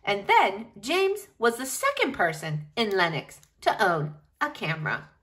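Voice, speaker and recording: A woman reading a picture book aloud to children in an expressive, animated way, speaking directly to her young listeners. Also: mid-range voice, unhurried.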